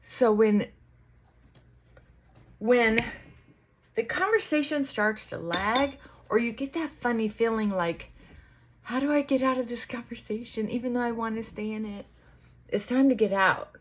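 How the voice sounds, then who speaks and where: A woman vlogger talking in casual, rambling chit-chat, reflective and halting, often trailing off and circling back. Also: a little high, slight background noise.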